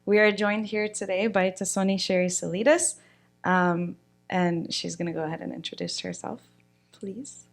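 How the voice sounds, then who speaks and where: A woman hosts a podcast interview. She asks her questions simply, and in between she keeps her replies short and encouraging. Her voice sits on the lower side for a woman. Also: moderate pace, creaky. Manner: brief encouraging responses. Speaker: podcast host. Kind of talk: scripted-ish interview questions.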